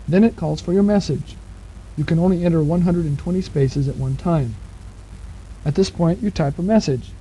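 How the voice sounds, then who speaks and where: A man's voice: fairly high pitch, warm tone. A man narrating step-by-step instructions in a patient, plain-spoken, explanatory way, on an old 1970s cassette recording. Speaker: instructor narrating a program manual. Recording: muffled 1970s cassette tape with hiss.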